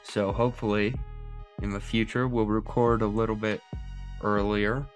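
A young man hosting a football podcast, talking in a deliberately hushed, unhurried late-night way. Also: flat delivery, soft background music. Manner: slow, low, hushed late-night delivery. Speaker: podcast host. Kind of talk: casual football podcast chat.

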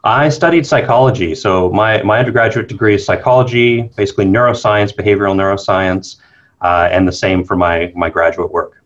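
A man's voice: fairly low pitch, steady tone, a little fast. A man with a Canadian accent answering conversationally as an interview guest, an academic researcher. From New Brunswick, eastern Canada. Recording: dull, band-limited call audio.

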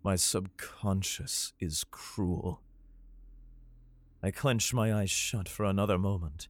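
Low-voiced grim male narrator. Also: no echo. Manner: measured, grim inner narration. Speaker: narrator and lead, a father. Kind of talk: first-person audio drama narration. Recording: faint music behind.

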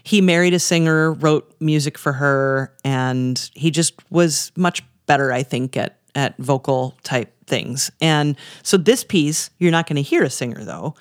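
A man's voice: fairly high pitch, unhurried, hardly any pauses, no echo, studio quality. An American man co-hosting a casual chat about classical music, talking in an enthusiastic, joking way. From United States. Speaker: podcast co-host.

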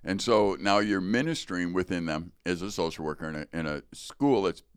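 American male podcast host, middle-aged. Low pitch, clean resonant tone, conversational and explanatory, close dry sound. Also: brisk, clean tone, no echo. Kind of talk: conversational interviewing. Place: podcast studio.

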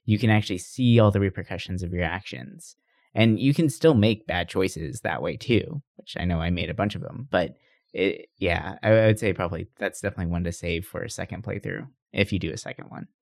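Man, deep pitch, no room echo, casual explanatory co-host chat. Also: fairly quick, vocal fry, a little mellow, studio quality. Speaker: podcast co-host. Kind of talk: casual chat about video games.

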